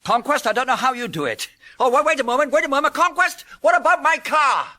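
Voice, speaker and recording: An actor playing an excitable, exasperated British police inspector, blustering through a vintage-style radio drama. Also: male voice, very high-pitched, fast, faint music behind, full character voice. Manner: blustering, astonished.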